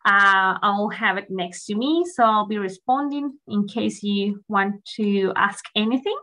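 A woman's voice: medium pitch, measured pace, sharp tone. A woman from Chile in her thirties giving a friendly, chatty guest lecture over a video call, with frequent ums. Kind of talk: online academic presentation.

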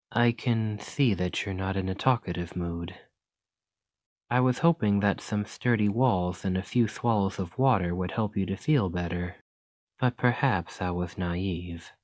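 A man playing an earnest, chatty scholar in an ASMR roleplay, speaking gently and hesitantly as he rambles and interrupts himself. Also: on the low side, no echo, lightly acted. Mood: awkward, hesitant. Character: earnest, rambling male scholar gently reassuring a captive creature.

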